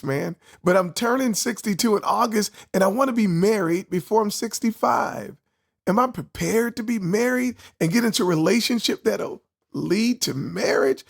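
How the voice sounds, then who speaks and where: A man in his sixties hosting a motivational vlog, talking in an animated, preachy way, repeating his questions and laughing often. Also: high-pitched, gravelly. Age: 60s. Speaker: host.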